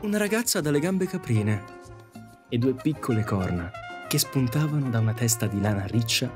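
A man's voice: medium pitch, animated, normal speed, over music. A man reading scripted narration as the storyteller of an audio drama.

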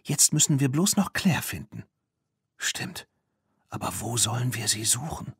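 A man voicing an adventurous, secretive young girl in a children's audiobook, in a hushed, breathy half-whisper. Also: medium pitch, lively intonation, measured pace, dry recording, studio quality, pitched above the performer's own voice, exaggerated voice. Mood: hushed surprise.

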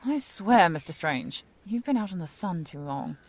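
An actress playing a feisty, skeptical, indignant woman in an audio drama, sharp-tongued and sarcastic. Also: lowish voice, very animated, normal speed, breathy edge.

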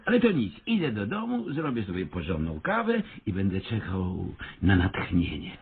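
An actor playing a capricious, self-important avant-garde theatre creator in a comic radio play, with a grandiose manner. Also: male voice, low voice, huge pitch swings, moderate pace, breathy edge, full character voice. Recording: thin, phone-like radio play recording.

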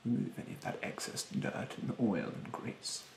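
A young man doing a soft-spoken ASMR roleplay in a breathy, hushed voice, politely snide and condescending with drawn-out, hesitant phrasing. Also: echoey room, slight background noise. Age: young adult. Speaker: ASMR performer playing a rude facial therapist. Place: bedroom, indoors.

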